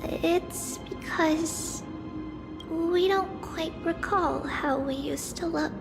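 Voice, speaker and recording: High-voiced, solemn young seer. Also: female voice, very slow, creaky, exaggerated voice. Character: solemn young female seer guiding visitors through memories. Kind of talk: video game voice acting, story narration. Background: game music.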